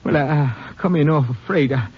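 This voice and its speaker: An actor playing a scared, evasive male hobo suspect who stammers and pleads in rough, ungrammatical speech, in a 1950s radio drama. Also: on the high side, fairly quick. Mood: pleading. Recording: dull old radio recording.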